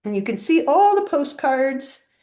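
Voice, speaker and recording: A woman's voice: high voice, very animated, measured pace, a little mellow. An older woman reading a children's picture book aloud expressively, like a grandmother pointing out pictures to a child.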